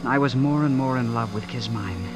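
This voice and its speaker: A man's voice: medium pitch, moderate pace, over music, close to an everyday voice. An actor in an old-time radio drama playing an earnest, lovestruck young man, nervous and indignant, with a hesitant, stammering delivery. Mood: wistful, narrating. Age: young adult. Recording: noisy vintage radio broadcast recording.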